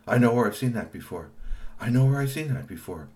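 Man doing a helpful lil storybook robot, pitched way up, keen to help. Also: animated, a little fast, natural voice. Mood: alarmed resolute. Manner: bright and eager to help. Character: eager helpful male robot, later determined.

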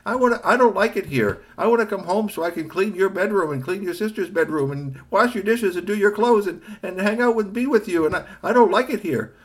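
A man's voice: high voice, expressive, brisk, hardly any pauses, pitched above the performer's own voice. A man voicing a scared little robot helper. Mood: frightened pleading. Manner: worried, pleading. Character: timid little household robot, childlike.

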